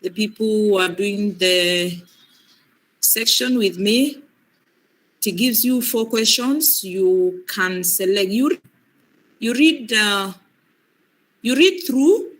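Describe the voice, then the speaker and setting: A woman's voice: mid-range voice, takes it slowly, pauses often, poor audio. A woman with a cold and a breaking voice, patiently guiding students through an online exam, often repeating her points. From Kenya. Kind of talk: live training demonstration.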